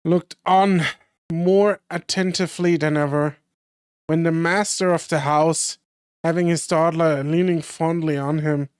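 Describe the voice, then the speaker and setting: A hoarse voice reading a classic tale aloud on stream, careful and unhurried with the odd slip. The sound is dry and close. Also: medium pitch, steady tone, darkish tone, no echo. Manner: slow careful reading with small slips. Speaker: streamer and reader.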